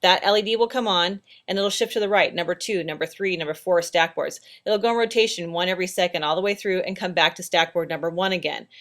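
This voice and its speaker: A woman's voice: on the low side, quick pace, few pauses, fairly bright tone. A woman narrating instructional training slides in a plain, practical, step-by-step way. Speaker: training presenter.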